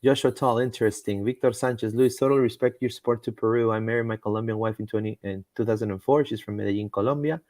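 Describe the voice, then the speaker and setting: A man's voice: lowish voice, fairly even intonation, quick pace, hardly any pauses, a little mellow, no room sound. Rambling man on a sports live stream. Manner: rambling, with frequent fillers and repeated words. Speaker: podcast host.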